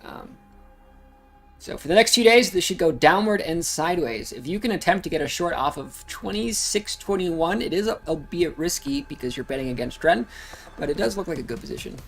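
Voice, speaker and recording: A man talks through trading charts in a loose livestream style, drifting off on tangents and filling pauses with "uh". His voice sits a little on the high side. A song plays faintly underneath. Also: expressive. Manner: chatty, rambling, frequent uh fillers. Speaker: crypto chart streamer. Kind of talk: casual livestream chart commentary. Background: music playing.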